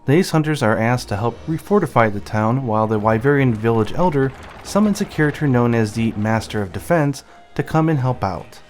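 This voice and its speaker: A man's voice: medium pitch, lively intonation, brisk, few pauses, a little mellow. A man giving scripted, explanatory narration of a video game's story. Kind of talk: scripted explanatory narration over game cutscenes. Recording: music behind the voice. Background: game music.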